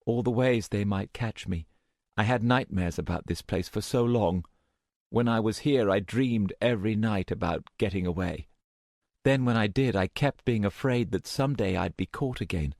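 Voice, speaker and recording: Scared tired young woman put on by a guy narrating, halting and strained, raised a bit above his deep voice. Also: vocal fry, darkish tone, dry recording, clean studio sound. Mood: anguished. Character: frightened weary young woman.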